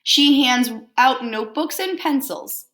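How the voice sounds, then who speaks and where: A woman teacher reading a picture book aloud to children, expressively and deliberately, pausing to put simple questions to them. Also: sits high, fairly bright tone. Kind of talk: storybook read-aloud with questions to young listeners.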